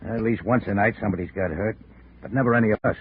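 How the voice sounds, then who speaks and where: A man playing a tough, wary carnival manager in an old radio drama, talking in gruff tough-guy slang. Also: fairly low pitch, fast, lightly acted. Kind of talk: 1950s radio drama acting. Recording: tinny, noisy old radio recording.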